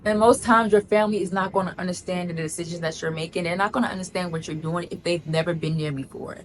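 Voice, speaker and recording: A woman's voice: fairly low pitch, fairly even intonation, fast, slight background noise. A woman gives casual advice to camera. She talks in an emphatic, chatty way, repeats lines for emphasis and calls viewers "girl.". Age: 30s. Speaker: vlogger.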